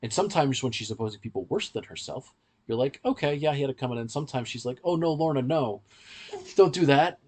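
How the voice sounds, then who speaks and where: A man's voice: medium pitch, expressive, fast talker, darkish tone, husky. A man hosting a review podcast, explaining things in a casual, conversational way. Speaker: podcast host reviewing audio dramas.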